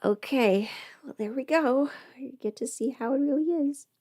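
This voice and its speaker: A woman's voice: high voice, moderate pace, darkish tone, no echo. A woman casually chatting on a livestream while doing her makeup, playful and absent-minded, muttering to herself in a close-miked voice. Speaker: livestream host, voice performer.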